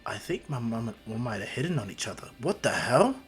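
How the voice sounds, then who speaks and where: A man's voice: mid-range voice, big pitch swings, fairly quick, faint music behind, natural voice. A man voicing an earnest, understated boy hero-fan in a fan story. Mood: bemused. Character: earnest, slightly deadpan boy who idolises his hero.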